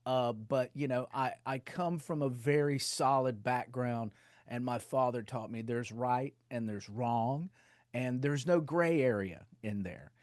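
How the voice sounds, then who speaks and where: A middle-aged man from North Carolina with a Southern accent, a rock singer chatting loudly and laughing often as an interview guest on a video call. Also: mid-range voice, a little slow, no room sound, studio quality. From North Carolina, USA. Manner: loud, animated, laughs often. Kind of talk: casual interview chat.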